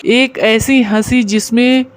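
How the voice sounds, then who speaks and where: Male narrator for scripted story, high pitched, smooth and crisp. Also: steady tone, takes it slowly, no echo.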